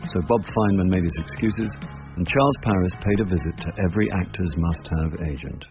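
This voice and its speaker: Low-voiced, sardonic English actor-sleuth. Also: male voice, brisk, muffled recording, music in the background. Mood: wry narration. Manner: dry, sardonic, theatrical. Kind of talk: radio drama acting with first-person narration.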